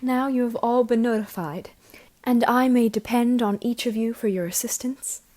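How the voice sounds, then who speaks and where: Actress for lovelorn young lady in period audio drama; high voice, devoted, stagey formal diction. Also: moderate pace, darkish tone, natural voice.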